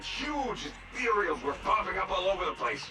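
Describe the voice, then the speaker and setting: A man's voice: high voice, sing-song, moderate pace, vocal fry, distant mic, exaggerated voice. An actor playing a cowardly, melodramatic bandit who rambles as he pleads for rescue. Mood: nervous, rambling. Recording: loud game music behind the voice.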